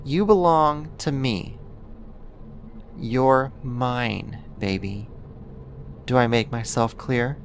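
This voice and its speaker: An actor playing an obsessive, possessive neighbor turned kidnapper in an ASMR roleplay, speaking in a deliberate, intimate, controlling way. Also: mid-range voice, slight background noise. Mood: menacing, commanding.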